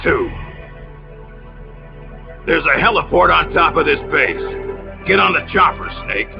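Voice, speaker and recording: A smug, scheming officer in a game cutscene, with a fairly high, gravelly voice. He taunts with theatrical condescension, and the muffled audio sits under loud music. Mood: commanding. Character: smug, scheming traitorous male military officer, bitter and mocking. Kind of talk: dramatic video game cutscene acting.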